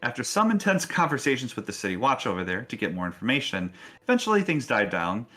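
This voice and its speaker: A man with a bright, forward voice at an ordinary male pitch hosts a tabletop game show. He talks casually and encouragingly as game master, with faint music behind him. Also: big pitch swings, quick pace. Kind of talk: casual hosting and story recap of a tabletop game.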